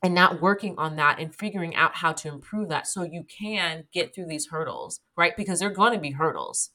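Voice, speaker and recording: A woman's voice: fairly low pitch, very animated, moderate pace, bright, forceful tone. A woman guest talking in a candid, emphatic way in a casual interview, swearing freely.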